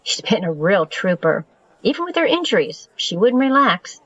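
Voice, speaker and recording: A woman's voice: mid-range voice, very animated, moderate pace, fairly bright tone, no room sound, lo-fi sound. An actress playing a kind, caring woman traveler who talks in a friendly way.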